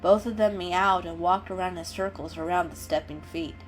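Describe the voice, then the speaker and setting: A woman's voice: fairly low pitch, normal speed, poor audio. A woman giving a dramatic storybook narration as a storyteller. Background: music.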